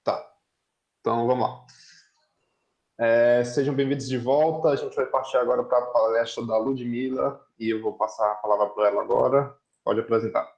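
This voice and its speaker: A man's voice: medium pitch, fairly even intonation, normal speed, creaky, darkish tone, echoey room, highs cut off. A man with a Brazilian accent casually hosting and moderating an online event over a video call.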